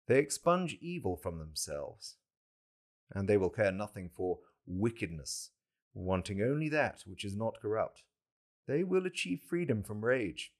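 A man voicing a calm, authoritative saviour who teaches a follower with solemn, deliberate pronouncements in a scripture reading. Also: low-pitched.

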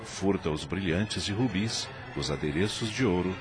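Deep-voiced guy narrating a radio play, lots of rise and fall, music behind. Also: normal speed. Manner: expressive, dramatic. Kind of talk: dramatic radio drama narration.

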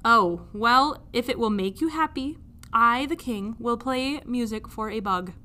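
A woman's voice: a little high, measured pace, bright, forceful tone. A woman voicing a stubborn, pompous father king in a storybook, speaking emphatically. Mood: indignant, pompous. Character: stubborn, pompous father king who likes being right.

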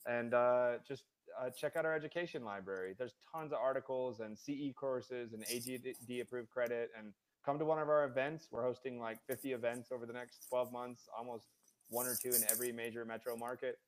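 A man appears as a guest on a casual podcast and talks at an ordinary, middle pitch for a man. He rambles in a relaxed way, with plenty of ums and likes as he works through his points. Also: fairly quick. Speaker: guest, financial advisor for dentists. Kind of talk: casual interview.

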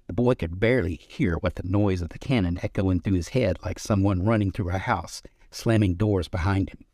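A man's voice: sits low, normal speed, a little mellow, no echo. A man reading his own literary short story as its narrator.

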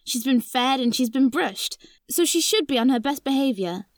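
A kind, reassuring young girl in a children's audio drama. The voice is very high and gently encouraging, with a dry, close sound. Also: lively intonation, moderate pace, no echo.

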